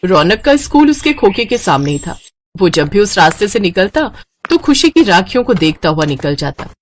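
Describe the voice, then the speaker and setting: Animated woman storyteller. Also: medium pitch, normal speed, slight background noise. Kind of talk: scripted cartoon story narration.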